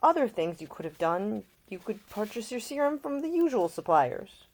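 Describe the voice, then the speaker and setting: A woman's voice: medium pitch, big pitch swings, moderate pace, darkish tone, pitched above the performer's own voice. A woman voicing an ailing, fiercely protective man in love, emotional and insistent, as audiobook character dialogue. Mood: concerned, indignant.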